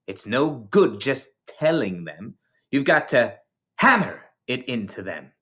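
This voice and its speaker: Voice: mid-range voice, sing-song, a bit bright. A reader playing a bullying headmistress, wildly swooping delivery. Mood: smug, instructing. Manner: scolding, emphatic, drawn-out words. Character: bullying, contemptuous older headmistress who despises children. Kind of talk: storybook character voice in a read-aloud.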